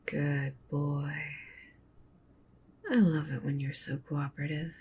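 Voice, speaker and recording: A woman voicing a doting, possessive, dominant wolf witch girlfriend in an ASMR roleplay, softly coaxing and commanding in a breathy near-whisper. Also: level tone, slow pace, dull audio, a little hiss or hum. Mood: coaxing, firm. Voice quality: soft, breathy whisper mixed with low murmur.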